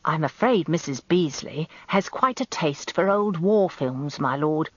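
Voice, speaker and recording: A woman's voice: on the low side, sing-song, normal speed, slightly rough, no room sound, highs cut off, heavily acted. A British woman voicing a short, weaselly male handyman, apologetic and deferential as a witness in a radio story.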